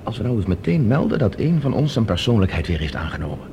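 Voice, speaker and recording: Rapid-talking, decisive professor. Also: male voice, mid-range voice, sing-song, creaky, noisy background. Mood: calculating, urgent. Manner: urgent, analytical, authoritative. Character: decisive male professor plotting as captive space traveller. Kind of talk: science fiction radio drama acting.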